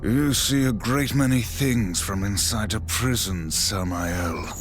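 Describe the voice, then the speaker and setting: An actor playing a brooding, solemn horseman warrior in a video game, speaking tersely in a heavy gravelly voice. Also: on the low side, slow pace. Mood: cold. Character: stern, brooding male horseman warrior of the apocalypse. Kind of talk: video game cutscene acting.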